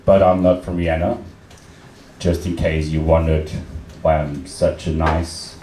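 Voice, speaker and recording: A young man from Austria with an Austrian accent, German his first language, performing a deadpan, self-mocking stand-up set with many fillers and restarts. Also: a bass voice, measured pace, noisy background. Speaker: stand-up comedian. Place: comedy club stage. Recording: roomy club sound from a distant mic, loud crowd.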